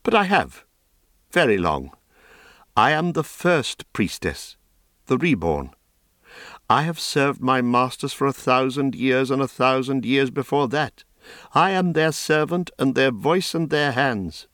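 A man's voice: mid-range voice, lively intonation, a little slow, a bit bright, no room sound, clean studio sound, voice pushed up. A man voicing a fierce young priestess. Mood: threatening. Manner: scornful, threatening. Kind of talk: audiobook character reading.

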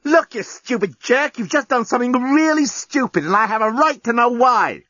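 Man as a shrill, exasperated, sarcastic woman. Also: sing-song, moderate pace, a bit bright, dry recording, highs cut off, full character voice. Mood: angry, alarmed. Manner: shrill, scolding, emphatic. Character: exasperated, sarcastic young woman, quick to yell. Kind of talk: storybook audiobook character voice.

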